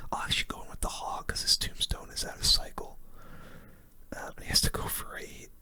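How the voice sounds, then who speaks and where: A person giving casual, rambling game commentary in a breathy, unvoiced ASMR whisper, close to the microphone. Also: pushed and bright. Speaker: gaming video host.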